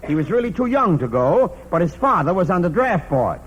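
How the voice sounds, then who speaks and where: A man's voice: sits high, sing-song, a little fast, muffled recording. A man narrating a scripted comic biography in mock-grand style, setting up punchlines on a vintage radio show. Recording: noisy vintage radio, audience noise. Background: live audience.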